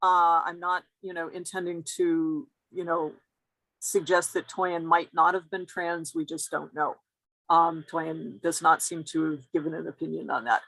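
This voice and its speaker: A woman's voice: sits low, level tone, a little slow, vocal fry, fairly bright tone, dry recording, rough recording. A woman giving a careful, explanatory academic talk as a guest lecturer over a video call.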